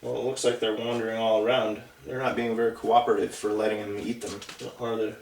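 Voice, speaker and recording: A man's voice: on the low side, level tone, brisk, darkish tone, slight background noise. A man reading a picture book aloud to young children, conversationally, with chatty asides and questions to the listeners. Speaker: storybook reader. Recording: roomy echo, distant mic.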